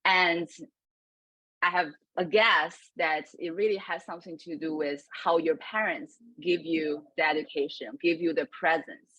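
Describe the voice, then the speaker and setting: A woman's voice: deep voice, steady tone, a little slow, fairly bright tone, no room sound. A woman podcast host asking thoughtful, conversational interview questions. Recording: dry, dull lo-fi audio.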